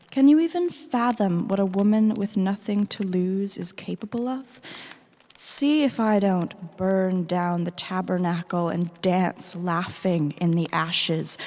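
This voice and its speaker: Slow-spoken, defiant noblewoman. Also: medium pitch, a little mellow. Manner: deliberate, formal, threatening. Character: defiant, embittered noblewoman, fierce and desperate. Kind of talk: fantasy audio drama acting. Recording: long hall reverb.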